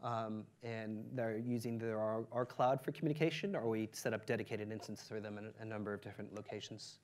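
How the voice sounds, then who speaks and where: A man giving an energetic startup pitch presentation, with many fillers, lifting his voice on key points. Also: fairly quick. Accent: non-Ukrainian English.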